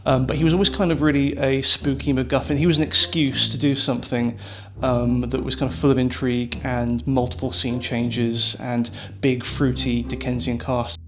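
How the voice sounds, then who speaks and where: A man's voice: mid-range voice, flat delivery, normal speed, hardly any pauses. A man, a writer and director, casually reminiscing in an interview.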